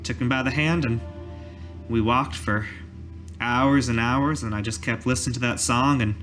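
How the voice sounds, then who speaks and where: A man voicing a weary village cobbler in a tabletop roleplay, telling his story in a halting, folksy way. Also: medium pitch, fairly even intonation, a bit bright, close to an everyday voice. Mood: tender, haunted. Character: weary male village cobbler recalling a massacre. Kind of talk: in-character tabletop roleplay monologue. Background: background music.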